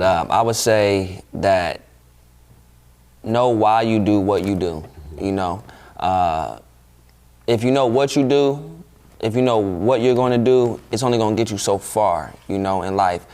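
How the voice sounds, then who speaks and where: A man's voice: on the low side, normal speed, a little mellow. A man in his twenties, a guest in a casual interview, speaking earnestly and reflectively with frequent "you know" and "man". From Ohio, USA. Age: 20s. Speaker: guest, urban farm founder.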